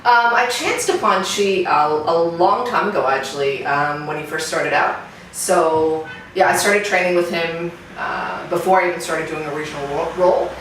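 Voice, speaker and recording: A woman's voice: lowish voice, normal speed, fairly bright tone. A middle-aged woman with a Canadian accent, answering interview questions in a thoughtful, reflective way with frequent restarts. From Singapore. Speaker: interviewee, fitness client. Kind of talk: casual interview testimonial. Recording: echoey room from far, with background noise.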